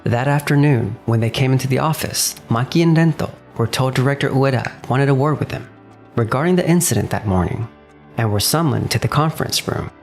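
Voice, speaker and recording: A man's voice: mid-range voice, a little fast, gravelly, faint music behind, natural voice. A man playing an earnest, responsible young businessman in a manga dub, narrating and speaking in character. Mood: measured narration. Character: earnest, responsible 29-year-old businessman narrating his betrayal.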